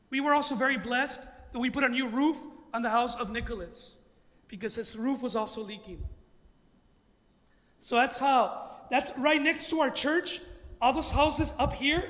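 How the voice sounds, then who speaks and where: High-voiced male pastor in an echoey hall. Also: fairly bright tone. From Los Angeles, United States. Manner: earnest, emphatic, with rhetorical questions. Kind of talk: informal public presentation. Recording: echoey hall with long reverb.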